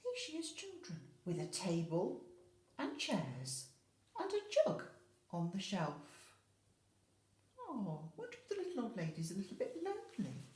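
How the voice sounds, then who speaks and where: A woman's voice: medium pitch, huge pitch swings, measured pace, darkish tone. A woman infant school teacher telling a story to young children, explaining simply and speaking to them directly. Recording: roomy, echoey sound.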